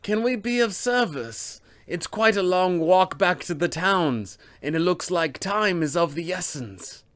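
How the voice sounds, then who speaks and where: A man's voice: high-pitched, animated, unhurried, few pauses, fairly bright tone, voice pushed up. A man voicing a haughty, self-serving female wizard with formal, theatrical disdain for a tabletop game. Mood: composed, urgent. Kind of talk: acted villain lines for a tabletop game.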